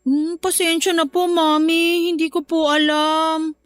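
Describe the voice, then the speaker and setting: An actress playing a dutiful but jealous young wife in a radio drama. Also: female voice, very high-pitched, flat delivery, a little slow, no echo, clean studio sound, soft background music. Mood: meek, apologetic. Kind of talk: Filipino radio drama acting.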